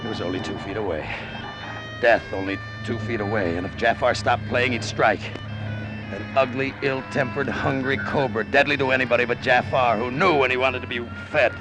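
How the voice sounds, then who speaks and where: An actor playing a desperate, terrified crooked pilot in an overwrought old-time radio drama style. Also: male voice, mid-range voice, steady tone, normal speed, over music, natural voice, low-quality recording. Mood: tense narration. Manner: overwrought, breathless intensity. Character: desperate, terrified crooked pilot telling his own tale.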